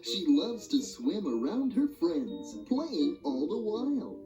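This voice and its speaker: Slow, sing-song woman reading rhymes. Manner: sing-song, highly expressive rhyming delivery. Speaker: storybook reader. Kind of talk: children's read-along narration. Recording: dull lo-fi audio with music behind.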